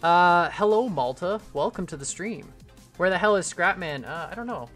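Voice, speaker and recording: A man's voice: high voice, expressive, normal speed, a bit bright, soft background music. A young man streaming a game live from his home computer, chatting and rambling as he answers viewers. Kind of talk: casual live stream chat while building in a game.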